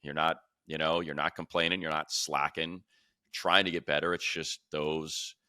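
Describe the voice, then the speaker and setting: A man's voice: a bass voice, little pitch movement, normal speed, pushed and bright, clean tone, no echo, clean studio sound. A man hosting a sports podcast, talking through football opinions in a casual, conversational style. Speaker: sports podcast host and radio play-by-play announcer.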